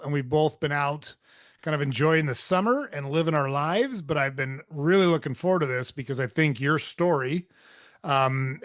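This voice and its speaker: A man's voice: medium pitch, a bit bright, no echo, studio quality. A man hosting a podcast, welcoming listeners and introducing his guest in a friendly, rambling way. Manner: friendly, rambling, lots of fillers. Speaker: podcast host. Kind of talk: casual podcast interview.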